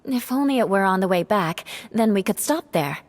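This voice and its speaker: An actress playing a proud, aloof young noblewoman in an anime game, with a haughty, crisp delivery. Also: mid-range voice, lively intonation, normal speed, a little mellow, lightly acted. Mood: composed.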